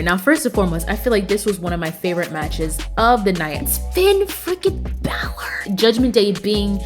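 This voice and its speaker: A woman hosting a casual wrestling recap show, talking enthusiastically and repeating words for emphasis in relaxed, slangy phrasing. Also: mid-range voice. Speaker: wrestling review show host.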